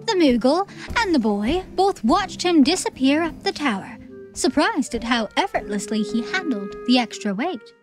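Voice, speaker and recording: A woman reading scripted story narration for a theatrical audiobook in an expressive, measured manner. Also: sits high, music in the background.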